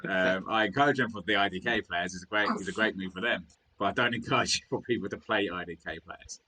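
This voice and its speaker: A man with a British accent hosting a casual hobby chat, chatty and quick with jokes, laughing often. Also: low-pitched, pushed and bright, slight background noise. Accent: British English. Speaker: podcast host.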